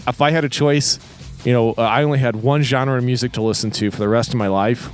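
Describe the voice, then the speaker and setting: A man with a mid-pitched voice talks as a podcast co-host in a casual, emphatic way. Music plays quietly in the background. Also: steady tone, fast.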